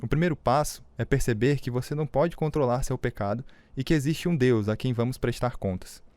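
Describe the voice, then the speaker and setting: An actor playing a firm, patient male Bible mentor with a Brazilian accent, teaching scripture in measured tones in a radio drama. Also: mid-range voice, darkish tone, dry recording, lightly acted. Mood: solemn. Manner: measured, preaching.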